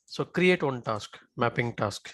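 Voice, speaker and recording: A male software instructor talks through a live on-screen demonstration. His voice sits at a middle pitch in a dry recording with no room sound. He often stops to check that learners follow. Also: animated, measured pace, dry recording. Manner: checks in often with short tag questions.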